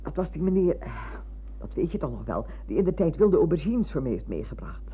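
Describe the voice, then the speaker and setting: A woman's voice: sits low, sing-song, a little fast, husky, heavily acted. An actress playing a motherly, talkative older woman in a radio play, effusive and given to digressions. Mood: fondly reminiscing. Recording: narrow-band, noisy, humming old radio recording.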